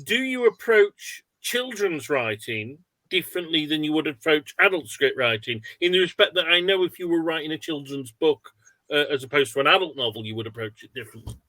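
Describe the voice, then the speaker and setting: A man with a bright, slightly high voice hosts an online webinar. He reads out audience questions informally, thinking aloud as he goes. His sound is close and dry, with little room in it. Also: lively intonation, a little fast, no room sound, poor audio. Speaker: webinar host.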